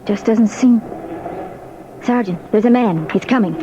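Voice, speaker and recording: A woman's voice: medium pitch, unhurried, warm tone, lightly acted. An actress playing a brave policewoman decoy, anxious but composed, in the style of a 1940s radio drama. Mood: nervous. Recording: dull, hissy old radio recording.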